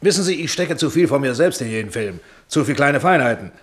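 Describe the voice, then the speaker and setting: A male actor plays a self-important, middle-aged movie mogul in a radio play. His mid-pitched voice carries a jovial, patronizing manner, every bit the man who believes in his own importance. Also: animated, fairly quick, natural voice. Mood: self-satisfied, patronizing. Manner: pompous, patronizing, self-satisfied. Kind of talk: radio drama acting.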